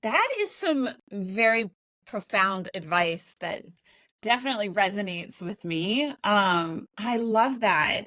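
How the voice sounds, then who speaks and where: A woman works as an interview host, speaking in a conversational, informal style. She is affirming toward her guest, and her mid-pitched voice comes through dry, with hardly any room sound. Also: a bit bright, no room sound.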